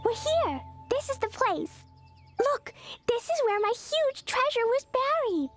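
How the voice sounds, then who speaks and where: A woman voicing an innocent, excitable little girl adventurer in a video game, bubbly and earnest. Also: very high voice, a little slow, faint music behind, heavily acted. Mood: excited, happy. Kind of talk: English video game voice acting.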